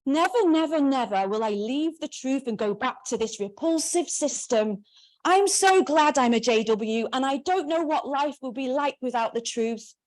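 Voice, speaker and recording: Brief: earnest, gushing teen girl reading her diary, voice lifted high , done by a woman. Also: expressive, normal speed, darkish tone, poor audio. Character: eager teenage girl writing in her diary.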